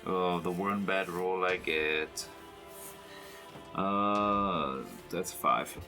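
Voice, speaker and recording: A man's voice: low-pitched, steady tone, extremely slow, over music. A man talking casually as a player in a tabletop game.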